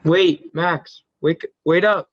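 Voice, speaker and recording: A man's voice: fairly high pitch, steady tone, slow pace, no echo, dull audio. An actor reading a skinny, modest fifteen-year-old boy in casual scripted dialogue. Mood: friendly.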